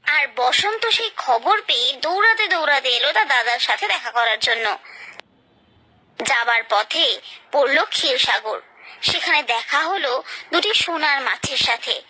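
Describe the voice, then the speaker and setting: A woman's voice: high voice, expressive, a little slow, pushed and bright, low-quality recording. A woman narrating a scripted children's story, expressively and directly addressing her young listeners.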